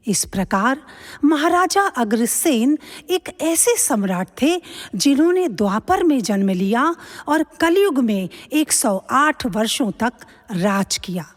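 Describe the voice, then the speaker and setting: A woman narrating a scripted mythological story in a formal storytelling style. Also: high-pitched. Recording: long hall reverb.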